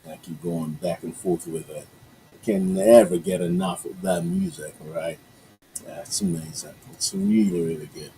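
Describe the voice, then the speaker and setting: A man's voice: low voice, animated, moderate pace, vocal fry, warm tone, slight background noise, rough recording. A male radio host whose first language is Yoruba, chatting casually on a morning show and rambling with lots of fillers and restarts. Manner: rambling, many fillers and restarts, upbeat asides.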